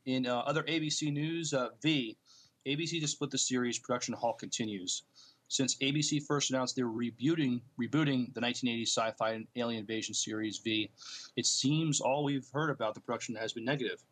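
A man co-hosting a podcast, reading and discussing entertainment news in a plain-spoken, slightly halting delivery. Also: medium pitch, a bit bright. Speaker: podcast co-host.

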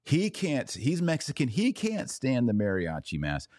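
A man's voice: mid-range voice, wildly swooping pitch, a little slow, darkish tone, no echo, clean studio sound. A man hosting a solo podcast, delivering opinionated commentary in a sardonic, emphatic way. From Texas. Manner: sardonic, emphatic, shifts pace often. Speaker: podcast host.

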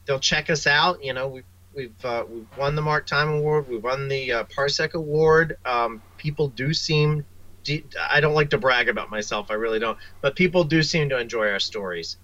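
A man speaking as a writer guest in a casual interview, halting and repeating words. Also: mid-range voice, bright, forceful tone, dull audio.

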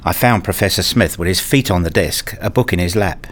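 A man's voice: low voice, a little fast. A man acting a frustrated, restless academic who narrates his dreary days in a radio play, wry and grumbling. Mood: glum, matter-of-fact. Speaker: narrator and lead character. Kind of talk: first-person radio play narration and dialogue.

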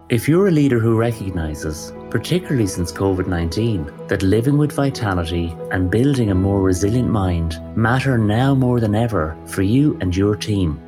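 This is a male podcast host with a fairly low-pitched voice. He delivers a scripted introduction and then asks his guest questions in a measured, courteous manner. Faint music plays behind him. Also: darkish tone.

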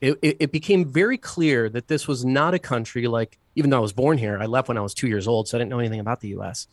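A man's voice: medium pitch, fast talker, no echo, clean studio sound. A man from the United States, a deacon and interview guest, telling his life story thoughtfully, with frequent fillers. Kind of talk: reflective personal storytelling.

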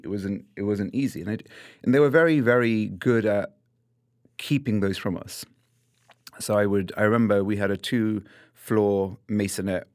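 Low-voiced man recalling his childhood. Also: no room sound, clean studio sound. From London, England. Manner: thoughtful, restarts and repeats words, shifts between lively and hushed. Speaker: podcast guest. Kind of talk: reflective conversational interview.

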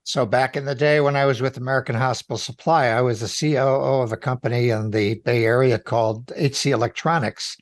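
A man's voice: medium pitch, steady tone, brisk, hardly any pauses, no room sound. An older man hosting an interview show, formally reading introductions and asking questions. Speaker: host.

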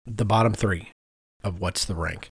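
A man's voice: lowish voice, unhurried, creaky, breathy edge, no room sound. A middle-aged man co-hosting a podcast, telling stories in a casual, conversational way and acting out what people said. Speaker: podcast co-host.

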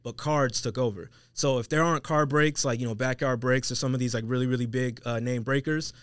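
A man's voice: mid-range voice, steady tone, fairly quick, fairly bright tone, clear, resonant tone, dry recording. An American man chatting casually on a podcast in a slangy, upbeat and emphatic way. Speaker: podcast guest who works with an online selling platform.

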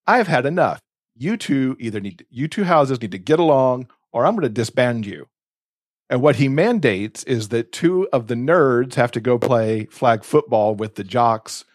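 A man with a slightly low voice talks in an effusive, casual podcast style. His delivery is emphatic and conversational, and the sound is very dry and close. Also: dry recording. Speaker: podcast co-host recommending books. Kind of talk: casual enthusiastic book chat.

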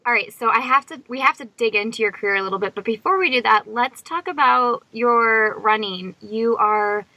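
Bright-voiced woman interviewing. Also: fairly high pitch, normal speed, dry recording, rough recording. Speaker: podcast host, interviewer. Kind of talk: casual conversational interviewing.

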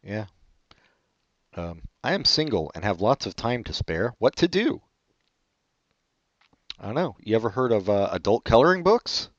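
A man hosting a casual comedy chat, reading online questions aloud and answering with deadpan sarcasm. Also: moderate pace, pauses often, no room sound. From California. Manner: sarcastic, deadpan jokes with sudden pitch jumps. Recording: dull, muffled lo-fi audio.

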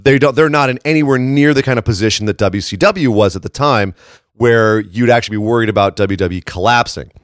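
A man's voice: medium pitch, brisk, no room sound. Guy podcasting with a froggy morning voice, casual but emphatic, close dry sound. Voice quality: froggy, slightly hoarse voice. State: tired froggy morning voice after a late shift. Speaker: podcast host. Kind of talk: casual wrestling commentary chat.

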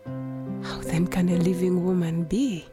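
A woman playing an anguished young woman in a radio play, speaking in a halting, dramatic way. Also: on the low side, little pitch movement. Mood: anguished, brooding. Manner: slow, halting, dramatic delivery. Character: anguished young woman singer, shamed daughter pleading with parents. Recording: music behind the voice. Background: music.

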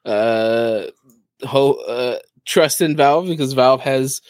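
A man's voice: mid-range voice, slow pace, no echo. A man co-hosting a podcast in casual chat, with a wry, joking manner. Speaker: podcast co-host.

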